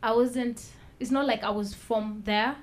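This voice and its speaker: A woman telling her own story as a talk-show guest, soft-spoken and measured, pausing with fillers. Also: a little high, fairly even intonation. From Kenya. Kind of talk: personal testimony in a talk show.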